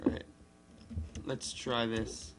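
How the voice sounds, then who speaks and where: A man's voice: mid-range voice, flat delivery, slow, noisy background. A man doing casual game commentary and amateur read-aloud narration, informal, with stumbles and ad-libs. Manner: informal, loosely reads text with stumbles and ad-libs. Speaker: gaming video narrator. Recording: music playing behind the voice. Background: guitar and piano music.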